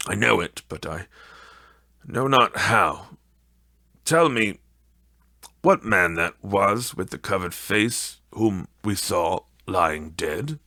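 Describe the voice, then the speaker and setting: Storybook old miser, voiced by a male reader: slow, frightened, repentant, pleading with fervor, captured very dry. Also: deep voice, lively intonation, bright, forceful tone, no echo, full character voice. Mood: solemn, uneasy. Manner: pleading, fervent, drawn-out delivery. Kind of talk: dramatic audiobook character acting.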